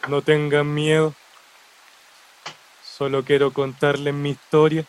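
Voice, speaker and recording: Radio drama: calm, mournful ghost of an executed man, Chilean accent, unhurried delivery, slightly high voice. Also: slight background noise, low-quality recording. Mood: gentle, solemn. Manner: slow, measured storytelling.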